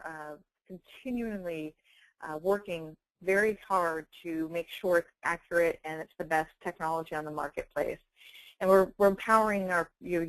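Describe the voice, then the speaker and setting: A woman with a slightly low voice presents a product in a webinar. She explains things in a careful, measured, professional manner. The sound is dry, with very little room echo. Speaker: webinar presenter, software company representative.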